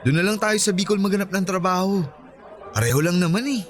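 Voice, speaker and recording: A man's voice: sits high, fast, close to an everyday voice. A man acting a teasing, cocky young man in love with an old friend, in a radio romance drama. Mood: pleading, teasing. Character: Ronnie, teasing, cocky, lovestruck young male childhood friend. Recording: background music and crowd chatter.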